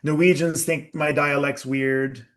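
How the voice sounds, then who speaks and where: Workshop leader, male, slightly high voice, slow deliberate delivery, quizzes the room. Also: flat delivery, fairly bright tone. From United States. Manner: slow, emphatic, asks the audience questions. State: sweating. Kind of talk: live group workshop talk.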